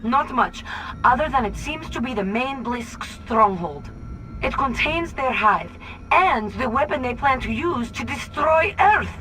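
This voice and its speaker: An actress playing a capable, earnest Soviet spy in a video game, speaking with a Russian accent and a dry, businesslike manner. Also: female voice, fairly high pitch, animated, unhurried, pushed and bright, soft background music, rough recording. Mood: briskly serious. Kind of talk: video game cutscene acting.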